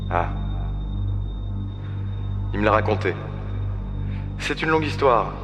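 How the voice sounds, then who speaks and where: A man voicing a gentle father who speaks softly and patiently while telling his daughter a bedtime story. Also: lowish voice, lively intonation, takes it slowly. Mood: storytelling. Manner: soft and patient. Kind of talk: amateur audio drama acting. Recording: reverberant, under music.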